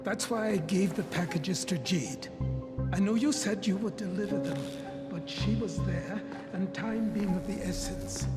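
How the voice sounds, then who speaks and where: An actor playing a frightened, earnest old doctor in a video game, speaking in an anxious, halting way. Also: male voice, high-pitched, loud music behind the voice. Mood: anxious, urgent. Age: older. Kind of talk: video game cutscene acting.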